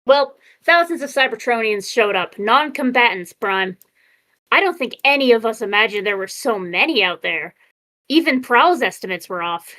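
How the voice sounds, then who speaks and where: A woman's voice: on the high side, expressive, moderate pace, bright, forceful tone, low-quality recording. A woman voicing brash, cocky robots in an amateur comic dub, with informal, punchy delivery. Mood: chatty, brisk.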